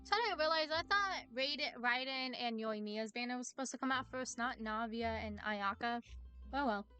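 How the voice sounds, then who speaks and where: Female live streamer, high, bright voice, casual and a bit hesitant, joking reactions to a game. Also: normal speed, clean tone, soft background music.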